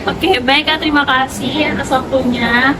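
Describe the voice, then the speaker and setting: A woman's voice: high voice, steady tone, moderate pace, a loud crowd. A young woman, a student interviewer, putting prepared questions to someone. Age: young adult. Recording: clearly noisy public-place recording.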